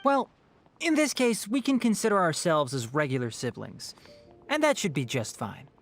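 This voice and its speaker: Lively, wry, light-voiced young mentor. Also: male voice, sits high, normal speed, faint music behind. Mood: gentle, wry. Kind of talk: anime-style video game voice acting.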